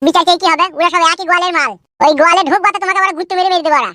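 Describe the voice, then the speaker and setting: A woman's voice: very high-pitched, steady tone, rapid-fire, bright, forceful tone, dry recording, studio quality. A woman playing a weary, blunt young village son-in-law in a comic cartoon, nagging in a folksy way.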